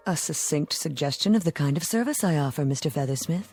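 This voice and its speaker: An actress playing a suave devil posing as a travel agent in 1960s television drama, speaking with poised, formal precision and cool teasing. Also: female voice, low-pitched, expressive, quick pace, warm tone, husky, exaggerated voice. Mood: smooth, persuasive. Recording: old TV soundtrack with background music.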